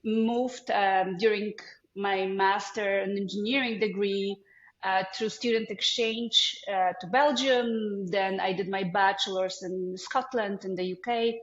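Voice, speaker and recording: A woman in her forties from Poland speaks with a Polish accent in a friendly, halting interview manner. Her voice comes through a dull, lo-fi line in a roomy, echoey space. She fills her pauses with ums as she explains. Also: mid-range voice, fairly even intonation. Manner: hesitant, many ums, friendly. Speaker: guest, foundation CEO. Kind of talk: casual interview talk.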